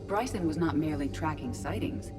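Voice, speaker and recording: A woman's voice: low-pitched, animated, music in the background. An actress playing a composed, precise female artificial intelligence in a video game, speaking formally and matter-of-factly. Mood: composed, analytical. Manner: measured, formal, matter-of-fact. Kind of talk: game cutscene dialogue.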